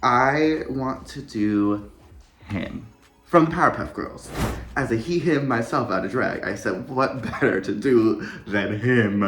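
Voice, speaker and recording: A man competing in drag, talking quickly and a little rushed in a confessional interview. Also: medium pitch, a bit bright, faint music behind.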